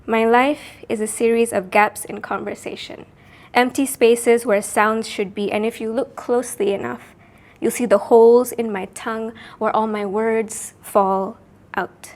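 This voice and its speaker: A woman from Sarawak, Malaysia, recites her own poem as a spoken word piece. Her voice sits slightly high, and she lets each line land before she moves on. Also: fairly even intonation. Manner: measured, deliberate, with pauses between phrases. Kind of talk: spoken word poetry performance.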